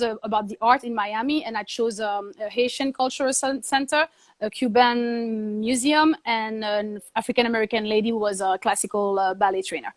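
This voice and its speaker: A woman's voice: fairly high pitch, no echo. A woman, a TV producer and journalist, telling her story as a guest in a casual interview and acting out her own past thoughts as quotes.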